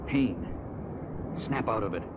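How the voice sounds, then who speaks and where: Stoic male superhero, low and deliberate, clipped phrasing, 1970s audio drama with a dull record sound. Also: expressive, heavy background noise. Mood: strained, determined. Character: stoic male superhero, society playboy in disguise.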